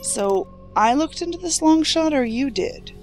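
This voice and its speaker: A woman's voice: high-pitched, animated, unhurried, darkish tone, faint music behind. An actress playing a thoughtful, astute, serious female investigator in an audio drama.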